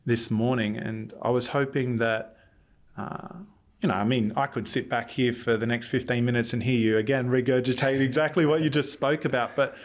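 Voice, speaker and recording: A man from Australia, a committee chair interviewing a guest, speaking in an earnest, measured way with occasional restarts. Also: medium pitch. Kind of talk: conversational interview.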